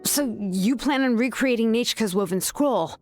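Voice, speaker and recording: A woman's voice: a little high, unhurried, faint music behind, close to an everyday voice. A woman playing a composed, shrewd young craftswoman in a video game. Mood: calm, probing. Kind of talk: dramatic video game voice acting.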